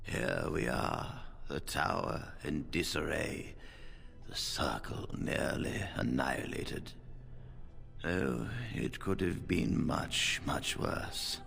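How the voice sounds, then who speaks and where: An actor playing an aged, dignified, wry wizard leader in a video game, with a gravelly, breathy voice and a measured, formal manner. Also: male voice, rumbling low, a bit bright, heavily acted. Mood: grave, relieved. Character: aged male First Enchanter mage, wry and dignified.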